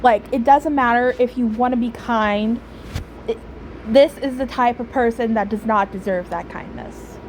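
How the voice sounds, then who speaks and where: A woman giving casual, rambling, opinionated commentary on a game story in a halting way. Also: on the high side, noisy background. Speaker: streamer reacting to a game story.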